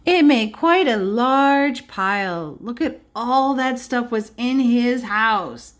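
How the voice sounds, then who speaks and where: A woman's voice: on the high side, animated, slow. A woman preschool teacher reading aloud to young children, adding simple explanations and checking in with questions. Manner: simple child-directed phrasing.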